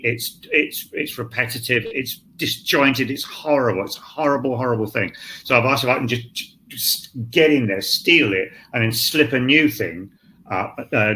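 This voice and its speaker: A man's voice: medium pitch, slight background noise, poor audio. A male scriptwriter on an online panel, talking candidly and self-deprecatingly, swearing and acting out his thoughts. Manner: animated, swears, acts out his own thoughts. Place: online panel, home set-up. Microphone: video call.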